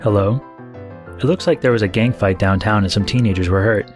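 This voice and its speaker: An actor playing a calm, gentle and mysterious rescuer in an audiobook, composed and reassuring. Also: male voice, on the low side, a little fast, faint music behind, lightly acted. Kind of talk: audiobook character acting.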